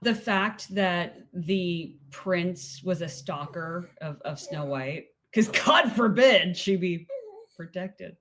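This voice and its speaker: A woman's voice: fairly low pitch, slow pace, noisy background. Giggly woman in her late thirties. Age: 30s. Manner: giggly, gushing, lively with frequent laughs. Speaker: podcast co-host. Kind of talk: casual chat.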